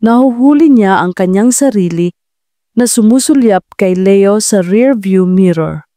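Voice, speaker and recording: A woman narrating a scripted romantic audio drama with a steady storytelling delivery. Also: mid-range voice, measured pace, no echo.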